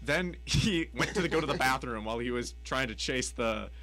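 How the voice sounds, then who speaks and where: Bright, lively young man. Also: medium pitch, normal speed. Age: early 20s. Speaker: student radio show co-host. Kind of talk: casual animated chat.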